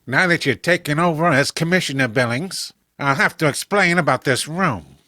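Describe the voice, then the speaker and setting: Firm veteran police chief, acted by a man, bright forceful voice, storytelling delivery, dry recording. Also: a little high, moderate pace, no room sound. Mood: explanatory. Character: authoritative veteran police commissioner recounting a strange case. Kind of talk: dramatic storytelling, horror comic voice acting.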